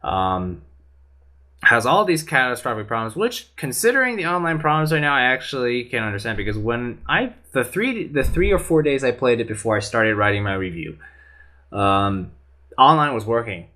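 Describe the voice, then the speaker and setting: Male panelist, bright timbre, earnest rambling delivery. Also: medium pitch, very animated, moderate pace. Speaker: podcast panelist. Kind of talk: casual opinionated chat.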